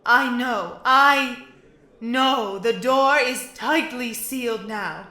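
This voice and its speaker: A woman playing a solemn female pony leader in an audio drama, making a halting, grave address. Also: fairly high pitch, sharp tone, heavily acted. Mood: grave, regretful. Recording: echoey hall with crowd.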